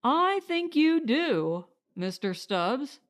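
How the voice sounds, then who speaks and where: Principled male settler for audiobook female reader, pointed and firm, slightly high pitch, unhurried. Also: very animated, heavily acted. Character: principled, blunt husband and would-be settler. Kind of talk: audiobook character dialogue.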